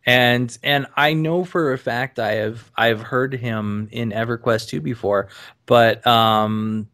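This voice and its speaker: A man hosting a casual podcast, talking in a measured way with many pauses and restarts. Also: medium pitch, steady tone, fairly bright tone. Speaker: podcast host.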